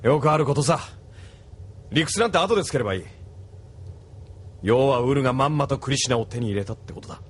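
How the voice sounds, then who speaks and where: An actor playing a blunt, rough-spoken male pilot giving a friend frank advice. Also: mid-range voice, expressive, fast, long pauses, slight background noise, natural voice. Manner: blunt, rough casual speech. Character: rough-talking adult male pilot comrade, blunt and worldly.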